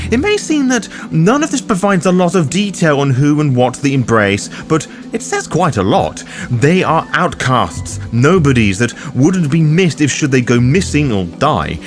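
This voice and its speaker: A man with a fairly high voice narrates a scripted explainer as the host of a gaming guide. His intonation is very animated, rising and dropping often, with a theatrical, cheeky edge. Soft music plays behind him. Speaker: host of a tabletop roleplaying guide.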